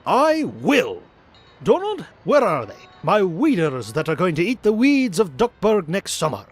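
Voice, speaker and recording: A man voicing a grumpy, flustered old rich uncle duck in a cartoon comic dub, scolding and exasperated with drawn-out emphasis. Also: sits high, wildly swooping pitch, slight background noise, exaggerated voice. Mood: businesslike, eager.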